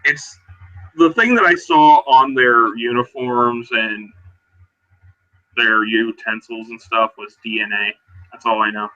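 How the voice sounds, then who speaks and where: Bright, forceful man roleplaying, muffled audio. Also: medium pitch, slow. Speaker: tabletop roleplaying player. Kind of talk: casual improvised roleplay narration and in-character talk. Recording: dull, muffled online voice-chat audio.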